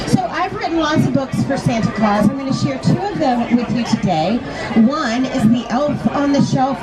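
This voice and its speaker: A woman's voice: fairly high pitch, low-quality recording. A woman storytelling to an audience of children, reading a picture book aloud and putting simple questions to them. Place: large busy toy store event. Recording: busy public event, crowd noise, loud music.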